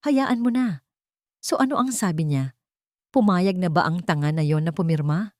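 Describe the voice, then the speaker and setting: A woman's voice: fairly low pitch, normal speed, darkish tone, no echo, clean studio sound, natural voice. A woman voicing a haughty, scheming older mother-in-law in a narrated story, serious and scornful. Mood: dismissive. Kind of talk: acted dialogue in a narrated story.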